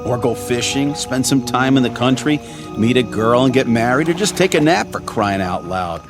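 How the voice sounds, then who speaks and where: A man's voice: mid-range voice, animated, normal speed, music in the background, lightly acted. An American man playing a brash, folksy, teasing young spaceship crewman in a radio drama. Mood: wry.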